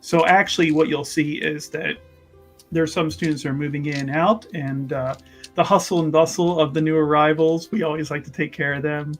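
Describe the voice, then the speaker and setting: Guy doing a nervous polite scholar at a university, rambling and reassuring, slightly high voice, bit of music under it. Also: steady tone, brisk, natural voice. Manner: hesitant, repetitive, placating.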